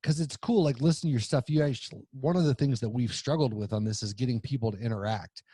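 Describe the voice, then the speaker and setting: Late-thirties male host, quick and profane, warm-toned, close studio mic. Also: mid-range voice, breathy edge, dry recording. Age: late 30s. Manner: joking, swears freely. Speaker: podcast host. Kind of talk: casual profane chat. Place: podcast studio around a table. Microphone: studio mic on boom arm.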